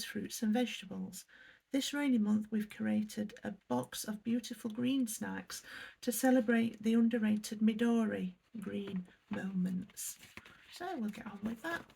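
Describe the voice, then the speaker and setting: Gravelly-voiced English woman. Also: medium pitch, warm tone. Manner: chatty, apologetic, reads product blurbs aloud. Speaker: unboxing vlogger. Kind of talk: casual chat while unboxing.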